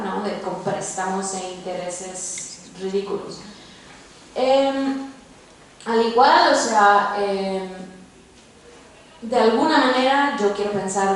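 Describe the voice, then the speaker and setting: Echoey, distant young Mexican woman speaking publicly. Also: mid-range voice. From born in Mexico, grew up in Los Angeles, United States. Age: 20s. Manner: halting, informal, hesitant phrasing with repeated tag questions. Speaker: guest speaker, activist and veteran. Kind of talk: personal testimony in a public talk. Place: large university lecture hall, indoors. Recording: echoey hall, distant mic, faint noise.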